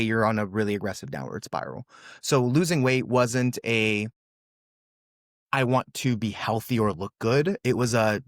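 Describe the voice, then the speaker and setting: A man's voice: mid-range voice, dry recording, clean studio sound. A man in his twenties, a native Russian speaker raised in California, telling his story as a podcast guest in an earnest, casual way, full of "um" and "brother". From USA, California.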